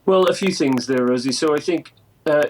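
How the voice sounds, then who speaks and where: A Canadian man arguing his points on a political panel in a measured, deliberate way. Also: fairly high pitch, a little mellow, poor audio. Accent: Canadian English. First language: not French. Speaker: political commentator.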